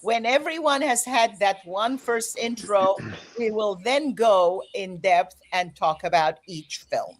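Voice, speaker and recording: A woman's voice: medium pitch, slow, hardly any pauses, a little hiss or hum, rough recording. A woman hosting an online panel of filmmakers, guiding them with friendly instructions over a video call. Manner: friendly, directive, gives instructions. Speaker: festival host moderating filmmakers.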